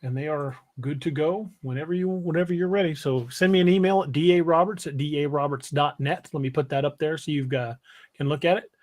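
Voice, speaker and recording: Male podcast host, pitched a little high; casual, folksy, halting livestream delivery. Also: darkish tone.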